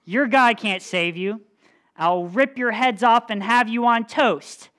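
A woman's voice: fairly high pitch, slow, voice pushed up. A woman playing a bellowing, cruel giant warrior. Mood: taunting. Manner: bellowing taunts, loud and raised. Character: huge boastful male giant warrior, cruel and mocking.

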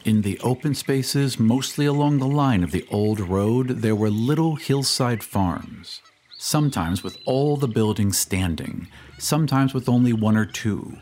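A man narrating a scripted story in a dramatic, measured and solemn manner, as the narrator of an audio podcast. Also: lowish voice, darkish tone, a little hiss or hum.

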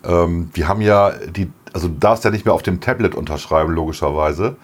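A middle-aged man co-hosting a podcast, slightly hoarse, chatting casually and laughing often. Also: sits low, fairly quick. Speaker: podcast co-host. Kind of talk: casual chat.

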